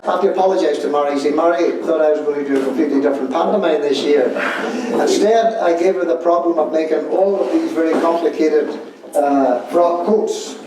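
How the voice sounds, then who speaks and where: A man giving an informal, motivational speech to a group as a director, emphatic and repeating his key points. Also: on the high side. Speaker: pantomime director addressing the cast. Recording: very echoey room, distant mic, noisy.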